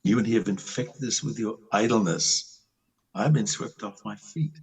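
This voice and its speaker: A man playing a disillusioned, lovelorn country doctor in an amateur play reading, with a husky voice and a theatrical, halting delivery. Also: on the low side, recorded from a distance, rough recording. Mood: reproachful.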